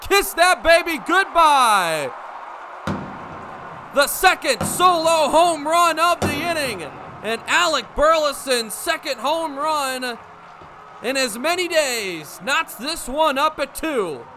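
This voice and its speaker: A young American man calling baseball play-by-play for radio, with energetic, vivid running commentary. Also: extremely high pitch, takes it slowly. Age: young adult. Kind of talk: live radio sports commentary. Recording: live broadcast with ballpark background noise. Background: stadium ambience.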